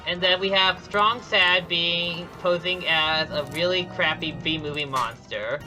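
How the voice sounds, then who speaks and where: A man's voice: high-pitched, steady tone, takes it slowly, long pauses, bright, forceful tone, poor audio. Man explaining his game run. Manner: explanatory, frequent restarts and self-corrections. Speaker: speedrunner commentating his own run. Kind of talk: live speedrun commentary. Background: game music.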